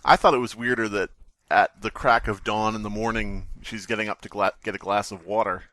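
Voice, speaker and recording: A man with a bright, somewhat low voice chats as a podcast co-host, wry and skeptical. He sounds crisp and forward, recorded very dry with no room echo. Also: fairly even intonation, fairly quick. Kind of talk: casual chat with read-aloud stories.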